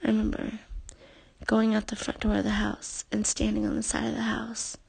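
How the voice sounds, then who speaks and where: A woman telling personal memories in an intimate, halting, confessional way, her breathy voice often thinning toward a near-whisper. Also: a little high, monotone, a little mellow. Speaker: personal storyteller addressing a former partner. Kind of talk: intimate spoken memoir, unscripted.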